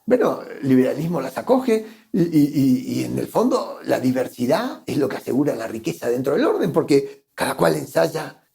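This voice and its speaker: Gravelly, mellow Argentine man. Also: medium pitch, big pitch swings, normal speed, hardly any pauses. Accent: Argentine Spanish. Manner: thoughtful, repeats words while searching for phrasing. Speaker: guest economist. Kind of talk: conversational interview.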